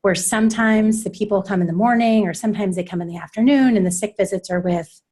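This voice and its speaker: A woman presenting a workshop, explaining points in a measured way. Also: medium pitch, mellow. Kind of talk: leading a workshop discussion.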